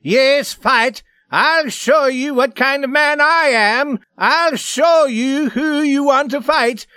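Man acting a screaming, furious Swede, pitched way up. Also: takes it slowly, no echo, studio quality, exaggerated voice. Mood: raging. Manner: shouting, repeating himself frantically. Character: furious, screaming, half-mad Swede spoiling for a fight.

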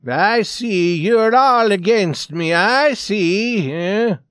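Guy reading a story as a scared paranoid man, high agitated voice, pleading, kinda desperate. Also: lively intonation, very slow, fairly bright tone, clean tone, no echo, clean studio sound, exaggerated voice. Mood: bitter. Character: nervous, frightened Swedish man, suspicious and desperate.

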